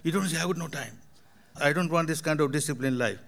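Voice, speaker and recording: A man's voice: on the high side, fast, a bit bright. An older man from Burma, a meditation teacher answering audience questions in emphatic, repetitive phrasing.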